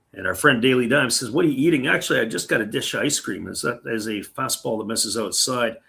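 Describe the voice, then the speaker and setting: A man with a gravelly, slightly worn voice calls a baseball game pitch by pitch. He talks in a relaxed, chatty way and stops now and then to answer viewer comments. His voice has a raspy edge from talking for a long time. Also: fairly quick. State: voice getting raspy. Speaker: play-by-play commentator. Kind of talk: live baseball play-by-play with chat reading.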